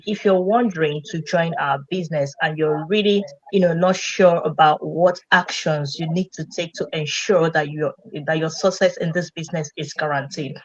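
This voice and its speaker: A woman's voice: lowish voice, dull audio. A woman hosting a casual live-streamed business chat from a video call, speaking with many fillers. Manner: warm greetings with frequent fillers. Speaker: host.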